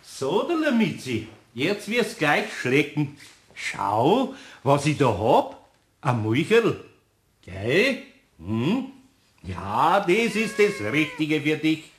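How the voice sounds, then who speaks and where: Casting: older Bavarian master craftsman, children's radio play; good-natured, gently gruff, rising and falling expressively. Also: on the high side, wildly swooping pitch, slow, heavily acted. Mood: tender coaxing. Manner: homely, chuckling, mildly scolding.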